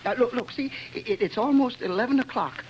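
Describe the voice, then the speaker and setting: A man's voice: very high voice, normal speed, lo-fi sound. A man playing an unhinged bomber who stammers, giggles and talks like a child, in old-time radio drama style. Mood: gloating. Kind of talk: 1930s radio drama acting. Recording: old radio recording with noise.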